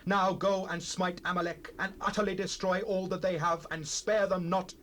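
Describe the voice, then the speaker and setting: An actor playing a stern, fervent prophet in a dramatized Bible recording, declaiming commands in solemn phrases. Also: male voice, high-pitched, little pitch movement, normal speed, a bit bright. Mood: fierce command. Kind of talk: dramatized scripture acting. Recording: noisy cassette rip, ambient sound.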